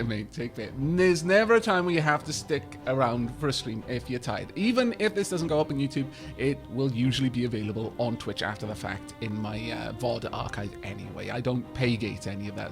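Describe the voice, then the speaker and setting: A man's voice: mid-range voice, brisk, creaky, few pauses, music in the background. A man chatting on a live gameplay stream in a wry, rambling way, thanking viewers by name.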